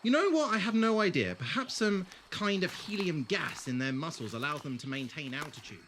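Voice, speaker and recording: An actor playing a whimsical alien time traveller in an audio drama, theatrical and wordy, with a wry, teasing way of talking. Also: male voice, a little high, sing-song, a little fast, background noise. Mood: explaining.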